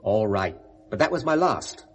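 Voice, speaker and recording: Animated, evasive middle-aged Englishman. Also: fairly low pitch, moderate pace. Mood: self-justifying. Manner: indignant, evasive, smoothly reasoning. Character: hypocritical, respectable middle-aged English photographer, self-justifying. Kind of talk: 1970s British radio drama acting.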